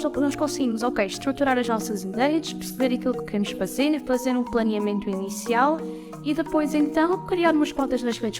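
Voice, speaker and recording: Quick-talking woman. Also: fairly high pitch. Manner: quick, fluent, explanatory. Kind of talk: explaining in an interview. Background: loud background music.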